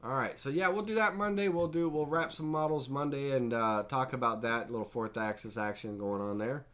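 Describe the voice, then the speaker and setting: A man hosting a live-streamed software tutorial with a head cold, sounding stuffy and nasal, rambling casually and talking to chat viewers by name. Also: mid-range voice, fairly quick. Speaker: host and instructor.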